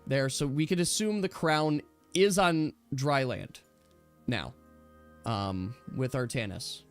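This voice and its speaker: A man's voice: mid-range voice, takes it slowly, clean tone. A man running an online tabletop role-playing game, explaining the action step by step as game master. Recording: music behind the voice. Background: music.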